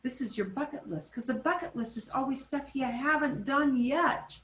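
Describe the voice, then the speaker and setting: A woman teaching a Spanish class over a video call, switching between Spanish and English and repeating phrases slowly. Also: high-pitched, a bit bright, roomy sound. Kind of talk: online class instruction.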